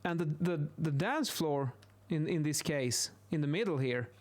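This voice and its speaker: A man in his thirties speaks English with a Swedish accent in a fairly high voice. He explains things informally and haltingly, often restarting words. He is close to a studio microphone in a home office. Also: expressive. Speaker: video host, acoustics instructor. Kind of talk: informal explainer while sketching. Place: small indoor home office. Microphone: close boom-arm studio microphone.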